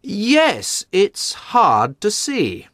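Thoughtful gentleman sleuth, drily ironic, very lively pitch, unhurried, dry studio sound, storybook character. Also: no room sound, full character voice. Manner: thoughtful, deliberate. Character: shrewd, courteous, drily ironic gentleman detective. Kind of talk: dramatised audiobook storytelling.

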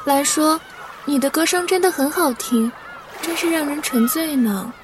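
A woman's voice: high-pitched, moderate pace, background noise, natural voice. A woman playing an innocent, tender young girl in love in an audio drama, heard from a distant mic. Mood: sweet, playful.

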